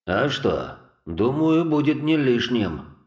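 A rude, suspicious cop of about forty barks orders, as a man puts him on in a storybook reading. His voice is pushed higher than the reader's own and rings with a changed, rougher timbre. The recording is bone-dry and close. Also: big pitch swings, takes it slowly, no echo, muffled recording, exaggerated voice. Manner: blunt, rude, commanding.

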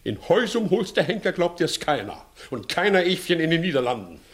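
Here is a crooked country judge in an old radio play. His voice sits fairly high and leaps about, moving from sly wheedling to loud bluster in a theatrical way. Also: very animated, fairly quick. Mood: hushed threatening. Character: blustering, scheming village judge hiding his own guilt. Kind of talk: 1960s German-language radio acting.

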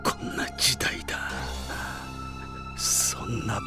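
An actor playing a proud, cynical enemy general in a Japanese radio drama, theatrical, mocking and haughty. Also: male voice, slow pace, in a whisper, over music, close to an everyday voice. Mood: fading, resigned.

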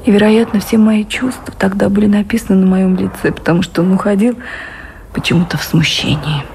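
An actress playing an anxious, devoted gentlewoman in love, speaking earnestly in refined, wistful radio drama style. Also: mid-range voice, fairly even intonation, a little fast, slight background noise, close to an everyday voice. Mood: tender, wistful. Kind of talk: Russian radio drama acting.

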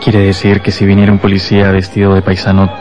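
Actor as low-voiced, incredulous male questioner. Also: monotone, fast talker, close to an everyday voice. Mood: probing. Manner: inquisitive, incredulous. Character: incredulous, probing male comrade questioning a fanatic. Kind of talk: radio drama acting. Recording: loud music behind the voice.

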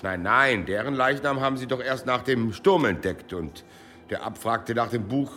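Actor as a bright-voiced, bookish monastery librarian. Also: male voice, medium pitch, moderate pace, soft background music, close to an everyday voice. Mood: musing, matter-of-fact. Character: proud, musing monastery librarian and copyist. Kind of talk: German audio drama acting.

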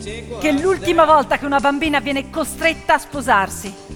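A woman performing a theatrical monologue as a brave, impassioned teenage activist girl, speaking in deliberate, emphatic phrases. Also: high voice, pitched above the performer's own voice, natural voice. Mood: impassioned rallying. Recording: long hall reverb, piano music behind.

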